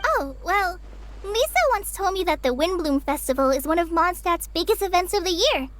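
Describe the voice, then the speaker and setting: A woman's voice: very high-pitched, animated, moderate pace, fairly bright tone, dry recording. A woman voicing an earnest, curious young girl in a video game, with a light, sweet voice. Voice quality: girlish, light voice. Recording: game music underneath.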